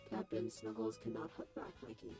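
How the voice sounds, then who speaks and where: A voice actor in a comic dub playing a stern, clinical robot caretaker who lectures in formal, precise language but has a soft heart. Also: medium pitch, monotone, brisk, soft background music, natural voice. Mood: exasperated. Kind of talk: animated comic dub acting.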